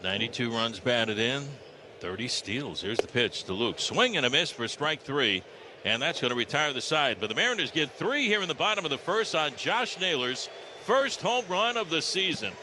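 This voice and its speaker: American baseball announcer over ballpark noise. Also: male voice, medium pitch, big pitch swings, moderate pace. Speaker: baseball radio play-by-play announcer. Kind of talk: live play-by-play commentary. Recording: ballpark ambience noise behind broadcast. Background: stadium ambience.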